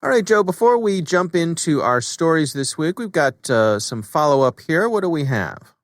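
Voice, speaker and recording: A man's voice: a little high, very animated, moderate pace, no echo, studio quality. An American man working as a podcast host, moving between scripted introductions and casual chat with a co-host.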